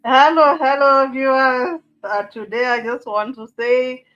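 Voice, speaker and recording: A woman from Zambia, a guest on a live-stream chat, talking in a lively, greeting way. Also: high voice, measured pace, highs cut off, faint music behind. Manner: lively, greeting, mixes in Nyanja.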